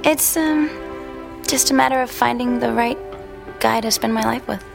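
A woman's voice: fairly high pitch, moderate pace, vocal fry, over music. An actress playing a chatty, flirtatious but evasive single woman in an audio drama, with a coy, teasing manner. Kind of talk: naturalistic audio drama acting.

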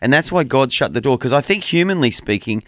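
A man's voice: mid-range voice, expressive, a little fast, no echo, clean studio sound. Earnest man preaching over radio. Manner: earnest, preacherly, addresses co-host by name. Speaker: radio host. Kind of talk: conversational Bible teaching on radio.

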